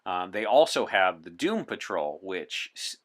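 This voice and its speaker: Deep-voiced man, articulate podcast guest. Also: very animated, a little slow. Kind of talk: conversational interview talk in character as a visitor from 1964.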